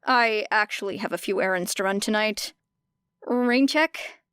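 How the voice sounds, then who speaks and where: A weary, guarded teenage student, played by a woman for an audiobook. Her voice sits at a medium pitch and is slightly harsh and terse. The sound is dry and close. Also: a little slow, fairly bright tone, smooth, no room sound, close to an everyday voice. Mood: curt. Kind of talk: audiobook character voice.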